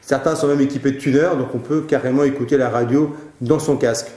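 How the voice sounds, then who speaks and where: A man with a dark, relaxed voice talks viewers through product advice. His delivery is casual and a little hesitant, and the sound is roomy with audible echo. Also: medium pitch, quick pace. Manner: hesitant, informal. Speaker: web show presenter answering viewers' questions.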